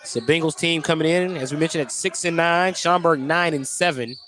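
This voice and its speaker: A man's voice: a little high, a little fast, a bit bright. A man with an American accent doing live radio play-by-play commentary of a basketball game in clipped phrases. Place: indoor basketball gym.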